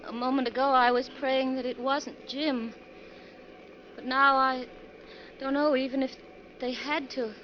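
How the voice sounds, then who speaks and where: High-voiced anxious young wife. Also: female voice, little pitch movement, a little slow, pauses often, a little hiss or hum. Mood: anguished. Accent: American. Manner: hesitant, emotional. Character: anxious, sorrowful young wife riding a bus. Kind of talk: 1940s radio melodrama acting. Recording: muffled 1940s radio recording.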